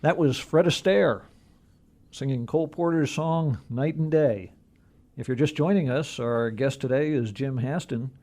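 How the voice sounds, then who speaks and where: Mellow-voiced male radio interviewer. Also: mid-range voice, measured pace. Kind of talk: conversational radio interviewing, introducing songs.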